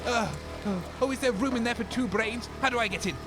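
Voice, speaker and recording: An actor playing an excitable, fame-hungry radio DJ with a theatrical, grandiose way of talking in a comic audio drama. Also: male voice, extremely high pitch, a little fast. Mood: envious, rapturous. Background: music bed.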